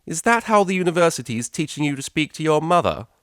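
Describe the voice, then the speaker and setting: An imperious pony mother from a storybook, acted out by a man. The delivery is clipped and condescending, pushed louder and a little higher, in a dry close recording. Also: big pitch swings, fast, clear, resonant tone, no room sound. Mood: cold, commanding. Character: stern, commanding, haughty pony mother.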